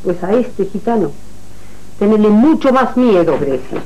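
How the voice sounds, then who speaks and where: A woman's voice: mid-range voice, animated, measured pace, warm tone, lightly acted, poor audio. A woman playing a frank, cautioning confidante with an Argentine accent in a telenovela. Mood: warning.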